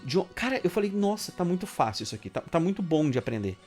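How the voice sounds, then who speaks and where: A man's voice: sits high, sing-song, fast, darkish tone, faint music behind. A man in casual podcast chat, telling stories animatedly and swearing often. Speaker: podcast co-host.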